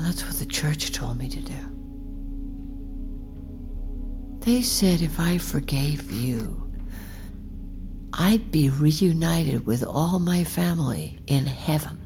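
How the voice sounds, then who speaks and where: Bereaved mother, audio drama: bitter and unforgiving, very low register, unhurried, deliberate delivery. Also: full character voice. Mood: bitter grief. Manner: slow, deliberate, accusing, often hushed. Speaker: actor in a fiction podcast. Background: faint music.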